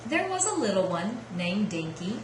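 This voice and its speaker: A woman's voice: medium pitch, very animated, moderate pace. A woman narrating a children's story as a puppet-show storyteller. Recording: roomy sound with clear background noise.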